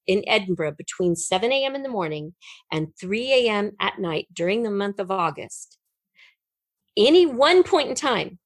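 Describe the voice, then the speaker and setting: Female interview guest, middle-aged, mid-range voice. Animated, emphatic conversational storyteller, recorded dry. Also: mid-range voice, no room sound. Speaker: guest, writer and performer.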